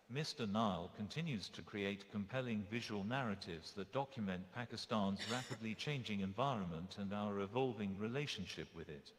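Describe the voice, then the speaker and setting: A man with a low voice reads speaker introductions at a conference in a formal, measured tone. His voice rings with the long reverb of a large hall. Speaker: event emcee introducing speakers. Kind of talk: reading a scripted introduction.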